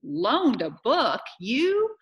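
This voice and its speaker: A woman reading a children's story, voicing a brisk, teasing mother with a wry, emphatic manner. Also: a little high, sing-song, a bit bright, dull audio, voice pushed up. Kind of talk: children's novel read aloud.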